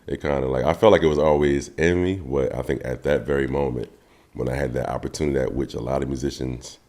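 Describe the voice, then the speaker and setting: A young man with a remarkably deep, low voice speaks in a relaxed, friendly way. He chats casually as a guest, with plenty of "you know" and "um" between his thoughts. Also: quick pace. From Trenton, New Jersey. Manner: relaxed, easygoing, many fillers. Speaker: guest drummer and producer. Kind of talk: casual interview talk.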